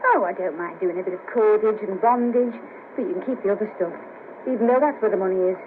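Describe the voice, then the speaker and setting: An actress playing a chatty, bawdy, self-deprecating sex worker in a 1970s radio play, speaking in an earthy, colloquial way. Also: female voice, medium pitch, fast, natural voice. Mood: candid, rueful. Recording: noisy patchy 1970s radio cassette, narrow band.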